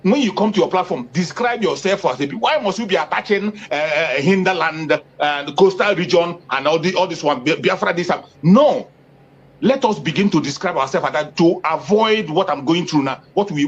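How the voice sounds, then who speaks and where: Emphatic man, husky and high. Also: expressive, normal speed. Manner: emphatic, repeats phrases for force, rising pitch when stressing points. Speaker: activist interviewee. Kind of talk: impassioned political interview talk. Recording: dull lo-fi audio.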